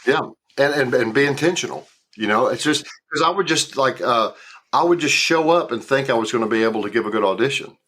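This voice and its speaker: A man's voice: medium pitch, brisk, pushed and bright, breathy edge. A man in his sixties with a Southern US accent, an actor talking casually in an interview, often repeating words and restarting. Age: 60s. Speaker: actor guest.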